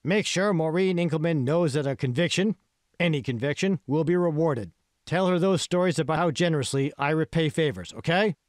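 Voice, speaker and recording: A man's voice: a little high, brisk, no room sound, studio quality, voice pushed up, close to an everyday voice. A man voicing a scheming, menacing tech billionaire in an audiobook, pointed and emphatic. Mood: commanding. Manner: pointed, emphatic, rhetorical questioning.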